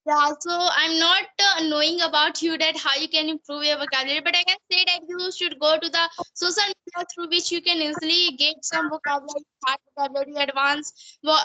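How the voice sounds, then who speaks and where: High, bright-voiced young Indian woman. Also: fairly even intonation, fast, low-quality recording. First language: Hindi. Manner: earnest, repetitive phrasing. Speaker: student giving English-learning advice. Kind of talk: conversational English practice interview.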